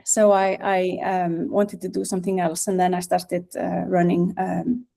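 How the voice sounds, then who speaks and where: Middle-aged Icelandic female presenter, soft-edged mellow tone, informal online talk with halting delivery. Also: lowish voice, little pitch movement, gravelly. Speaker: guest speaker, national music office director. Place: video call.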